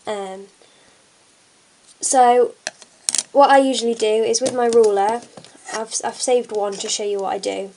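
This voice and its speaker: A woman's voice: on the high side, steady tone, measured pace, long pauses, mellow, a little hiss or hum, rough recording. A young woman with a cold and a blocked nose, chatting through a hands-on craft tutorial with lots of ums. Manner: chatty, many fillers, talks while working. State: congested from a cold. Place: at a table indoors, hands on camera.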